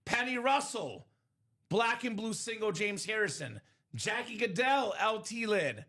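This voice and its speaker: High, lively, bright-voiced man hosting. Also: unhurried. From Bulgaria. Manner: upbeat, joking, shouts out buyers by name. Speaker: livestream sports card break host. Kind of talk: casual live-stream sales chat.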